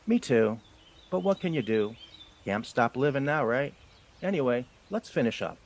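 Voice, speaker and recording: A man's voice: mid-range voice, lively intonation, moderate pace. A man voicing a seasoned, practical field mouse mentor in an audio drama, instructive and matter-of-fact. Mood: resigned. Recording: faint outdoor ambience with birdsong.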